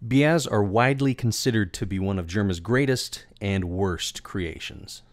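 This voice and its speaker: A man with a fairly low voice narrates a scripted story in a theatrical, wordy way. The recording is close and very dry. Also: measured pace, no room sound. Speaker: video creator and voice actor.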